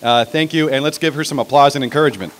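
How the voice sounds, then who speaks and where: A man's voice: mid-range voice, racing. A middle-aged American man hosting an event, giving an informal introduction into a podium microphone outdoors. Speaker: event host. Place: outdoor podium on building steps. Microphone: stand microphone at podium.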